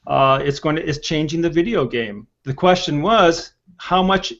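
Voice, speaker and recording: A man's voice: on the high side, normal speed, a bit bright. A man hosting a casual online panel discussion, moderating and reading out comments over a video call. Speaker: host. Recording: dull lo-fi video call audio.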